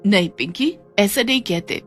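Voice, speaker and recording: Lady playing a meek caring young mum in a cartoon story, soft n soothing, music behind. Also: medium pitch, lively intonation, moderate pace, lightly acted. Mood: resolved, sad. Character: gentle suffering young mother, meek and caring.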